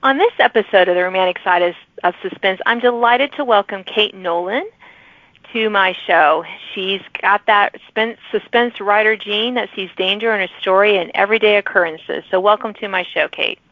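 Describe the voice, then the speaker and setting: A woman's voice: mid-range voice, moderate pace, phone-quality sound. A woman hosting a podcast in casual, enthusiastic interview chat. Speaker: podcast host.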